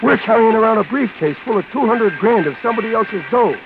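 A man's voice: high voice, animated, fast, background noise. An actor playing an ordinary, nervous wisecracking guy in a 1940s radio crime drama, with an American accent and slangy, stammering delivery. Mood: anxious. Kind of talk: 1940s radio acting, hardboiled first-person narration. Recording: tinny old radio broadcast with loud music.

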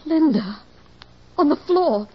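A woman's voice: sits high, expressive, slow pace, background noise. An actress playing a distraught young woman in a 1940s radio drama, anxious, pleading and melodramatic. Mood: despairing, confessing. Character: distraught young woman convinced her nightmare made her a killer. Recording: dull, lo-fi old radio recording.

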